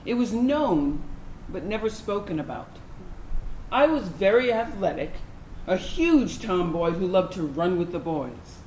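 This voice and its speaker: A woman acting in a staged reading as a grown tomboy from a big military family, reflecting in measured phrases. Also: fairly low pitch, animated, darkish tone. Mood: reflective, fond. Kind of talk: reflective monologue read from a script to an audience. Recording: roomy stage recording with faint noise.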